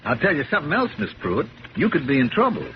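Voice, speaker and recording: An actor playing a skeptical, stern police lieutenant in a 1970s radio drama. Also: male voice, a little high, very animated, quick pace. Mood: skeptical, warning. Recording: dull, hissy old radio broadcast.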